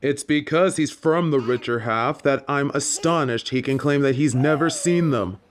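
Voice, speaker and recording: A woman's voice: a bass voice, normal speed. A woman playing a stern, suspicious interrogator at a magic school in a fantasy audio roleplay, accusing and skeptical.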